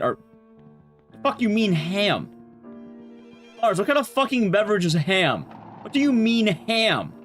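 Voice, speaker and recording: A man with a mid-pitched voice that swings up and down in a lively way, talking to his stream chat over music. He rambles through his game commentary, backing up and restarting thoughts in a loose, casual manner. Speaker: livestreamer.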